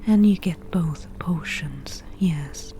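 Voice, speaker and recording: Lady doing a whispery asmr forest witch, lowish mellow voice, crafty and a bit defensive, dry asides, right up on the mic. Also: a little hiss or hum. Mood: sly, businesslike. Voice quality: soft, breathy whisper. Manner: slow, measured, matter-of-fact with dry asides. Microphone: close ASMR microphone.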